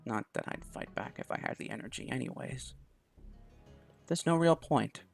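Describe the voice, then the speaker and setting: A man's voice: mid-range voice, wildly swooping pitch, normal speed, creaky, faint music behind. A man reading a visual novel aloud in first person, with small restarts. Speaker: let's play host.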